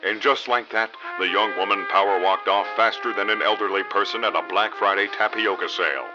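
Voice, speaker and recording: Casting: male actor as dreamy, clueless rookie gumshoe, lowish register, mock-serious hard-boiled radio narration, slightly dull recording. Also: fairly even intonation, brisk, lightly acted. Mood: brooding narration. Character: hapless novice male private detective, deadpan, dim and dreamy. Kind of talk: parody noir radio drama narration. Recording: dull lo-fi audio under loud music.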